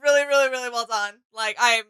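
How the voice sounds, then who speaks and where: A woman's voice: a little high, fast talker, pushed and bright, clean tone, no echo, clean studio sound. A woman co-hosting a reaction video, talking in a casual, agreeable, informal way as she shares her thoughts. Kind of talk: casual reflective reaction chat.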